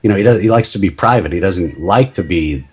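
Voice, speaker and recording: A man's voice: low-pitched, animated, fairly quick, darkish tone, husky. Chatty, self-deprecating middle-aged man. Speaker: podcast guest. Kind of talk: casual interview chat.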